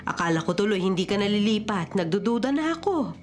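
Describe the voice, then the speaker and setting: A woman's voice: mid-range voice, very animated, fast talker. An actress playing a chatty, wary landlady in a Filipino radio drama. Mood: wary, businesslike. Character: chatty, wary landlady handing over an apartment. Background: dramatic music.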